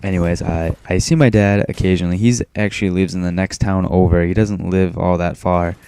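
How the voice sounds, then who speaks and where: A teenage boy from Maine rambling in a self-described monotone, talking casually over his gameplay with frequent restarts. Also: deep voice, brisk, smooth. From Maine, USA. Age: teens. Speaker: gaming commentator.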